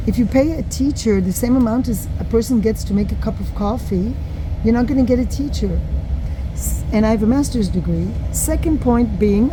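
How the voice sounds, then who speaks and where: A middle-aged woman, a drama and yoga teacher, giving a frank, opinionated monologue as she records on her phone. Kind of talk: casual monologue vlog. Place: inside a moving train. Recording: train rumble in the background. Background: train and vehicle noise.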